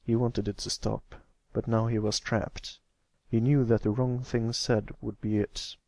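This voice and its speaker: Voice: mid-range voice, flat delivery, measured pace, darkish tone, no room sound. An amateur reader giving a scripted narration of a story.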